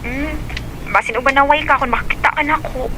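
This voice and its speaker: A woman's voice: sits high, steady tone, a little fast, pushed and bright, slight background noise. An actress playing a young female student who becomes a man's girlfriend over video calls, in a radio drama. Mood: coy. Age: young adult.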